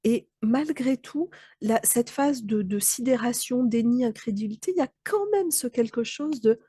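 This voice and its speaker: Woman with a cold, husky and dry. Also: fairly high pitch, expressive, normal speed, mellow, no room sound. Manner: thoughtful, hesitant, many fillers and restarts. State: slightly hoarse from a cold. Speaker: mentor and trainer. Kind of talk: explanatory talk to camera.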